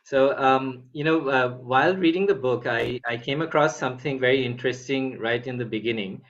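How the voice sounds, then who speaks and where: A man's voice: medium pitch, moderate pace, fairly bright tone, dull audio. A man hosting a book podcast, asking polite questions with many hesitant fillers. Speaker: podcast host. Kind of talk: book interview.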